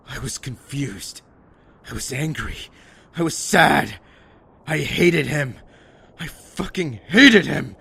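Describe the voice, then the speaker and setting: A man acting in an audio drama as a bitter, wounded, foul-mouthed survivor with a halting, raw delivery. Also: mid-range voice, gravelly, a bit bright, slightly rough, exaggerated voice. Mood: furious.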